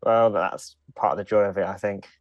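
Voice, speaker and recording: A man with a fairly low voice chats as a podcast co-host. His delivery is casual and conversational, and the sound is very dry, with no room echo. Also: fairly even intonation, brisk, lo-fi sound. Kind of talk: casual chat.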